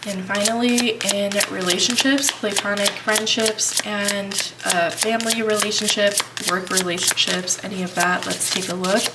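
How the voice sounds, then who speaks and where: A woman with a stuffy cold, reading tarot cards for viewers in a relaxed, reassuring way. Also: mid-range voice, moderate pace, hardly any pauses, far from the mic, very noisy. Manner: thinking aloud, reassuring, addresses viewers as piles. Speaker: tarot reader. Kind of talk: pick-a-card tarot reading.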